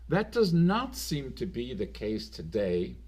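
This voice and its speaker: A middle-aged man delivering a slow, deliberate monologue with long pauses between phrases. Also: mid-range voice, huge pitch swings, a little mellow. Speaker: commentator. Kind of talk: unscripted monologue.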